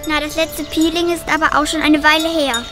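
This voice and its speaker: A woman's voice: high-pitched, flat delivery, brisk, close to an everyday voice. A woman acting in a children's radio play, chatty and cheerful, with music behind her. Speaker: amateur radio play actor.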